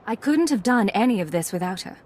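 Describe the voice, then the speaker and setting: A woman voices a female undercover agent in a video game. Her mid-pitched voice is cool and controlled, with formal, clipped phrasing. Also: lively intonation, brisk, a little mellow, close to an everyday voice. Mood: cool, firm.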